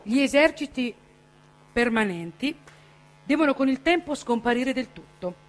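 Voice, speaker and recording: A woman's voice: high-pitched, expressive, moderate pace, faint music behind. A woman declaiming a written text as a stage reader.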